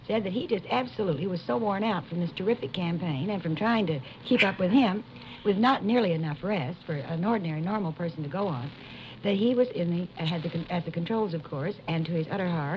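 A woman's voice: lowish voice, muffled recording, slight background noise. A woman telling an anecdote in conversation, as an interview guest, on an old radio recording. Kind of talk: anecdote told in a relaxed conversation.

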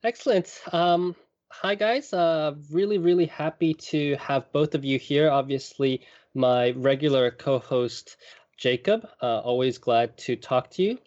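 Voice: mid-range voice, measured pace, a little mellow. Podcast host with many fillers, dull sound. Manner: friendly, with frequent fillers and backchannel murmurs. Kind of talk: casual podcast hosting. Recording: dull remote recording.

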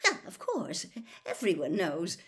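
A woman's voice: on the high side, big pitch swings, moderate pace, voice pushed up. A woman voicing a hot-tempered, blustering male wizard official who is easily cowed, in an audiobook story. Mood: flustered. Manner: roaring, impatient, accusing.

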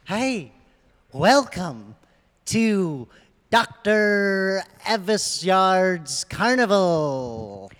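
An eager carnival barker, acted out by a man, pitched high and swooping widely. He draws out his folksy welcomes and coaxes visitors in like a showman in a radio comedy. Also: big pitch swings, a bit bright, full character voice. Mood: showy welcome. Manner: folksy, coaxing, drawn-out showman delivery. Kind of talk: improvised radio comedy acting.

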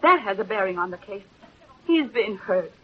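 A woman's voice: medium pitch, lively intonation, normal speed, slightly rough. An actress playing a fervent, earnest newspaperwoman pleading in a vintage radio courtroom drama. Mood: impassioned. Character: impassioned newspaperwoman pleading for the man she loves. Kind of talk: 1930s-40s radio drama acting. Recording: muffled old radio recording.

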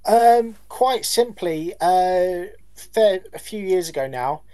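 Polite singer, measured answers. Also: male voice, high-pitched, animated, dark tone, smooth. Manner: measured, polite, some hesitations. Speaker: band lead singer, guest. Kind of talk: casual interview answers.

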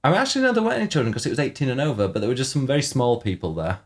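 A man co-hosts a casual podcast, his voice a little hoarse from coughing. He rambles and teases, often breaking off mid-sentence, and his pitch rises and falls a lot. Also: huge pitch swings, very fast. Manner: teasing, rambling, self-interrupting. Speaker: podcast co-host. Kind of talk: casual chat.

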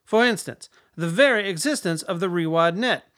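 An actor playing a stern, contemptuous older defense secretary in a radio drama, formal, clipped and condescending. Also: male voice, high-pitched, animated, moderate pace, a bit bright. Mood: angry, cutting.

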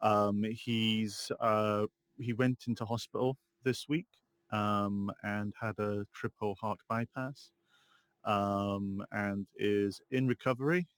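A man's voice: fairly low pitch, fairly even intonation, slow, no echo. A man co-hosting a casual gaming podcast, talking with lots of ums and uhs and laughing easily. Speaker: podcast co-host. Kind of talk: casual chat.

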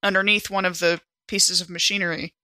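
A woman voicing a stern, disapproving female mechanic who speaks in a clipped, deadpan way. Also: on the low side, fairly quick.

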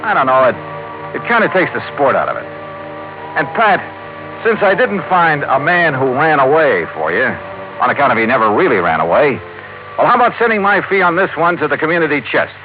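Tinny vintage radio, hardboiled American investigator, wry, clipped, animated pitch, music behind. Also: male voice, fairly quick. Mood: wry, relaxed. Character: tough, wry American insurance investigator telling his case. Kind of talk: 1950s radio detective drama, first-person narration.

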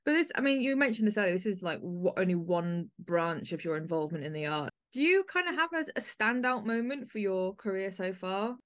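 A woman's voice: medium pitch, lively intonation, fairly quick, few pauses. A woman hosting a podcast in a conversational way, chatty and effusive with her guest. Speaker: podcast host, interviewer.

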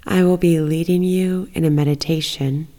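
A woman leading a guided bedtime meditation, speaking in a soft, soothing, unhurried manner with long pauses. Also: sits low, fairly even intonation. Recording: long reverberant echo.

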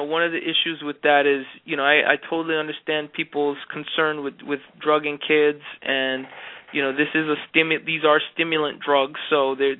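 A man answering interview questions earnestly, with frequent fillers and restarts. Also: a little high. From Jamaica. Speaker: interview guest. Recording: tinny phone-like sound.

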